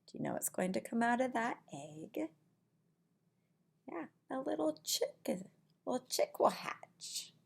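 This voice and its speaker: A middle-aged woman reads a picture book aloud like a preschool teacher. Her pace is slow and sing-song, with a creaky edge to the voice. She adds simple asides for little listeners. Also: high voice, expressive, a little hiss or hum. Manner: deliberate, sing-song reading with simple asides and counting questions. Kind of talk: picture-book read-aloud for young children. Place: small indoor room at home.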